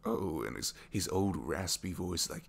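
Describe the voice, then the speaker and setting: A man playing a captured supervillain in an intimate ASMR roleplay, a gentle, bookish dreamer who speaks softly and hesitantly, often sinking toward a whisper. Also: sits low, lively intonation, unhurried, creaky, heavily acted. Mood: sincere, fond. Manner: hesitant, soft-spoken, rambling, often dropping to whispers.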